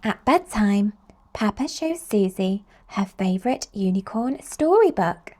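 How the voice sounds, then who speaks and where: A woman reading picture books aloud to young children in an expressive, slow storytelling manner. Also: medium pitch. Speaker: children's storybook reader and host.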